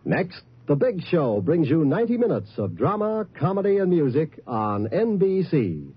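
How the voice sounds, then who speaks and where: A man's voice: on the high side, sing-song, moderate pace, breathy edge, soft background music. A man announcing network radio promos in 1950s style. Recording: muffled old radio broadcast.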